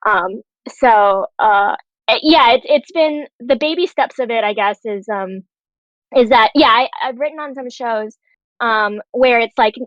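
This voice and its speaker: A woman's voice: on the high side, a little slow, dry recording. A woman chatting casually as a panelist, informal and full of filler words, on slightly muffled audio. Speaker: panelist, television writer. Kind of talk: casual chat.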